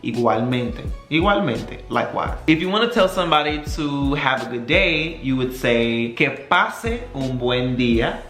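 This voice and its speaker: A man's voice: mid-range voice, lively intonation, fairly bright tone, soft background music. Young man teaching phrases in an echoey room. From Texas. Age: young adult. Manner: repeats phrases slowly, drawn out, with many "right?" checks. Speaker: Spanish teacher. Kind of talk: casual video lesson. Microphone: camera mic.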